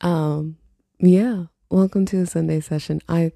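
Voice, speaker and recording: A woman's voice: steady tone, measured pace, dark tone, no room sound, studio quality. A young woman hosting her casual solo podcast, sounding congested and stuffy, rambling and laughing at herself as she talks. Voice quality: low husky morning voice. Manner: rambling, laughs often, self-mocking. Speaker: podcast host.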